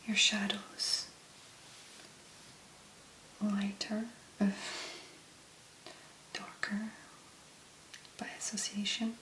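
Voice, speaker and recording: A woman speaks in a breathy, hushed near-whisper, as an ASMR host would. Her delivery is slow and very level, laid out in short murmured phrases. The sound is roomy, with a noticeable echo around her voice. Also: mid-range voice. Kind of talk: soft-spoken ASMR make-up tutorial.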